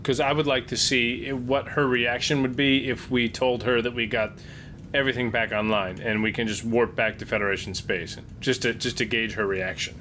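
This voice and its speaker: A man's voice: mid-range voice, steady tone, normal speed, bright, forceful tone, slight background noise. A man talking casually as a player in a tabletop role-playing game.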